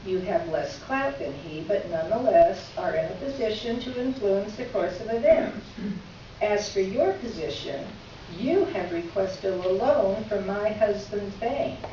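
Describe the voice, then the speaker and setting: A woman acts out a polite, pointed female columnist in a readers theater piece. She reads her letter in measured, formal phrases with dry irony. The sound is very echoey and somewhat dull, with faint noise. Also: mid-range voice, animated, mellow, lightly acted. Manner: formal, measured, drily ironic. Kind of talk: staged readers theater, reading a letter aloud. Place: live reading in a reverberant room. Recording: echoey room from far off, dull, noisy.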